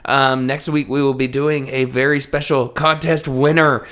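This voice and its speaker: A man's voice: medium pitch, flat delivery, normal speed, fairly bright tone. A man co-hosting a podcast, making informal, easygoing announcements in casual chat. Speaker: podcast co-host.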